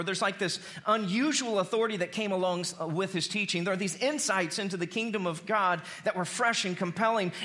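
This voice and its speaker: A man preaching a conversational sermon as an animated storyteller, repeating phrases for emphasis. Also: high voice. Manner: animated storyteller who acts out dialogue in slang.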